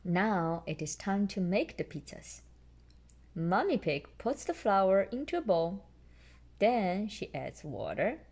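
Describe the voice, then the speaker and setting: Slow, lively woman reading a story. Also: mid-range voice. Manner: explains simply and patiently to a child. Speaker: storyteller mother reading aloud to a child. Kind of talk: read-aloud children's bedtime story.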